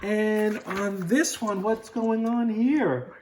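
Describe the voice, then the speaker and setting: A woman's voice: mid-range voice, slow, mellow, slight background noise. A woman reading a storybook aloud as a parent, chatting with a small child and encouraging them with questions. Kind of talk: read-aloud storytelling with casual chat to a child.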